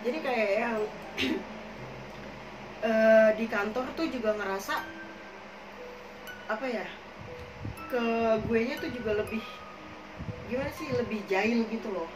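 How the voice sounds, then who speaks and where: A young woman chatting casually, hoarse and coughing, rambling with many fillers as she tells her own experiences. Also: fairly high pitch, measured pace, pauses often. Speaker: podcast co-host telling her own experiences. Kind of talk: casual chat in Jakarta slang. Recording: echoey room, far from the mic, noisy.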